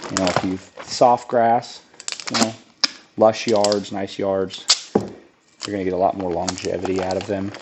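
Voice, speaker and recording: Low-voiced man getting over a cold, raspy, talks plainly and thinks out loud, clear noise in the background. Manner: plain-spoken, relaxed, thinks aloud with restarts. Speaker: lawn care business owner demonstrating a DIY build. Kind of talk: casual how-to narration while working.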